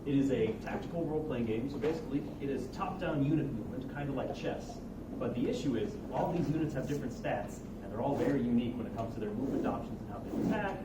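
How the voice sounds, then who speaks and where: A man's voice: mid-range voice, lively intonation, quick pace. A young man speaks as a student presenter, giving an informal technical presentation. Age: young adult. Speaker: student presenter introducing a game project. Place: large echoey indoor room at a student showcase. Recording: distant mic, echoey room, heavy noise.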